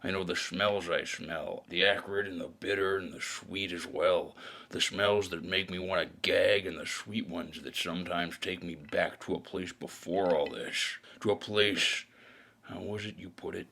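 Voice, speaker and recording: An actor playing a weary, brooding hard-boiled detective, narrating a scripted noir audio drama in a deliberate, gravelly voice. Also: male voice, low voice, fairly even intonation, pushed and bright, full character voice.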